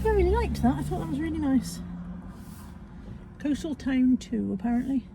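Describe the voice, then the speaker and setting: A woman's voice: high-pitched, expressive, normal speed, noisy background. A British woman chatting casually in a vlog from her car, sounding congested as she comes down with a cold, tagging questions onto her remarks. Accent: British English. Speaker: vlogger.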